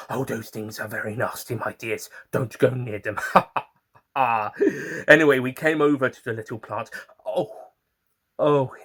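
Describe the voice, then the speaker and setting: A man's voice: medium pitch, a bit bright, husky. A man playing an eccentric, chatty time-traveller who narrates his own adventures in an audio story with theatrical, fond asides.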